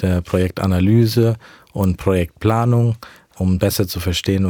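A man's voice: low-pitched, warm tone, no room sound. A young man whose first language is English, answering interview questions about mission work in careful, hesitant speech. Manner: earnest, measured, with frequent hesitations. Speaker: mission worker.

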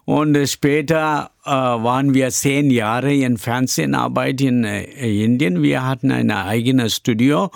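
Middle-aged man with an Indian accent, a pastor; slow and hesitant delivery, gravelly tone. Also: mid-range voice, dry recording, clean studio sound. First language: Tamil. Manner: halting, frequent hesitations and broken grammar. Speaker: pastor and church planter. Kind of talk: interview answers.

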